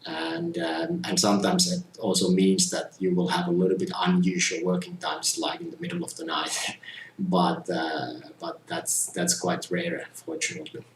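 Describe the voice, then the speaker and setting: Low-voiced man, echoey room. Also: creaky, slight background noise. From Finland. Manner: measured, earnest, frequent "you know" fillers. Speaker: community tester. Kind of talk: casual testimonial talk. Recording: roomy echo with faint ambience.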